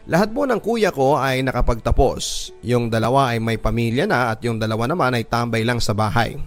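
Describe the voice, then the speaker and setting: A man with a Filipino accent narrates a first-person story in an emotive radio drama style. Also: medium pitch. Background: background music.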